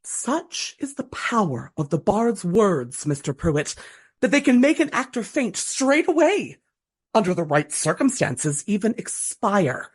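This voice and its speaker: Gushing, husky, melodramatic Regency actress. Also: female voice, medium pitch, sing-song, measured pace. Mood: earnest, dramatic. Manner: theatrical, breathy earnestness, dramatic flourishes. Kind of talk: radio play acting.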